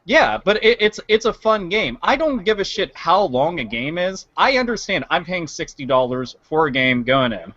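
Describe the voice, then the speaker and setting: A man's voice: fairly high pitch, very animated, normal speed, few pauses, a bit bright. A man talking casually and bluntly about games as a podcast panelist, swearing freely. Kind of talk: casual video game podcast discussion. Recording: dry, dull lo-fi mic.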